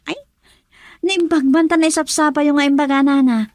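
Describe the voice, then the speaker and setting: A woman's voice: high-pitched, fairly even intonation, a little fast, darkish tone, dry recording. A woman with an Ilocano accent playing a friendly, admiring, chatty young woman in a radio drama. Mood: admiring, teasing.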